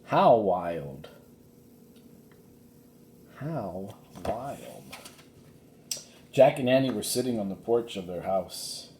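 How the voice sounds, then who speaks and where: A man's voice: very animated, extremely slow, pauses often, mellow. A man narrating a children's storybook as a reader, recorded in an echoey room. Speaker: audiobook reader. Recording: roomy echo, faint rumbling noise.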